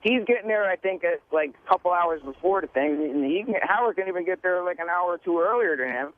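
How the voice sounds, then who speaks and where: A man's voice: high-pitched, lively intonation, fast. A man of about forty calling into a radio show by phone, chatting casually in an easygoing but insistent way. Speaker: phone-in caller. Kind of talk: casual chat. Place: calling in by telephone. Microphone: telephone. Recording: narrow tinny phone line on radio.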